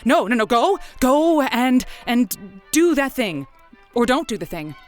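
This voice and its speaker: A woman's voice: on the high side, sing-song, measured pace, soft background music. A woman playing a waffling, stammering advice host. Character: hesitant female radio advice host. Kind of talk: improvised comedy call-in show.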